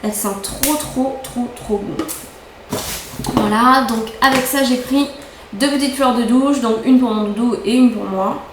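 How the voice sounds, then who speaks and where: A young woman with a fairly high voice talks through her purchases in a chatty, excitable way, doubling up words when she loves something. The room rings with echo. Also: a little slow, noisy background. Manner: chatty, enthusiastic, repeats words for emphasis. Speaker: beauty vlogger. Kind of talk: casual haul chat. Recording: echoey room, faint ambience.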